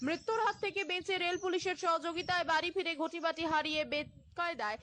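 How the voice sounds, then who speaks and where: Brief: female news narrator, very high bright voice, quick sensational scripted delivery. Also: a little hiss or hum.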